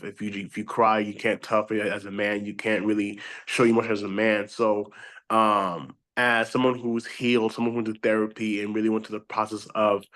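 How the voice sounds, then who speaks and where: A man from Haiti introducing himself as a guest author, speaking earnestly and motivationally, with some halting repeats. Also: fairly low pitch, fairly bright tone. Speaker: author and activist, panel guest. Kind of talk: self-introduction, motivational talk.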